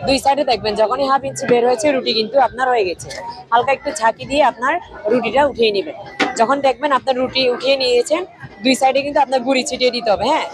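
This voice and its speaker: A woman's voice: high voice, poor audio. A woman with a Bangladeshi accent giving an instructional product demonstration as a salesperson. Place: trade fair stall.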